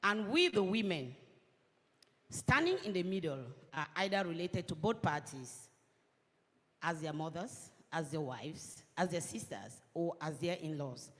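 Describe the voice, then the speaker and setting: A woman from Cameroon, speaking as a panelist in measured, earnest phrases. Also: lowish voice, expressive, a bit bright. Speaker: panelist, women's organization coordinator. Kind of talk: conference panel remarks. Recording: long reverb of a large hall.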